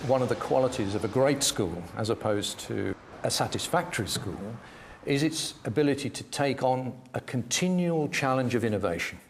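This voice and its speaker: A man's voice: medium pitch, lively intonation, moderate pace, slight background noise. A man who is a head teacher and author, speaking about his work in a reflective, literate way. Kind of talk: reading aloud and reflective interview talk.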